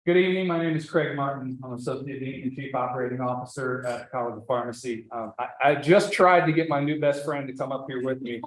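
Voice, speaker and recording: A man introducing an honoree at an awards dinner, reading through a long list of posts and honors with the odd off-script aside. His voice sits in the middle range. It booms back from a large banquet hall over the speakers, with a very heavy echo. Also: fairly even intonation, fast, few pauses. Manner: reads a long citation, with asides and restarts. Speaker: associate dean presenting an award. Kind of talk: ceremony introduction speech.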